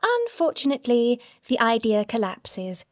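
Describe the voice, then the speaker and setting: An actress playing an irreverent, arch storyteller who narrates and comments in an audio drama. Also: female voice, on the high side, very animated, moderate pace, no echo, clean studio sound. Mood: arch, amused. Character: wry, irreverent female storyteller commenting on a scandalous life.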